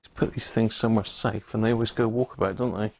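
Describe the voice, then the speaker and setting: Gravelly, low-voiced British man rambling casually. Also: fairly even intonation, fast talker, darkish tone. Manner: rambling, muttering to himself, self-mocking. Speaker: livestream host showing radio gear. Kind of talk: casual live chat, thinking aloud.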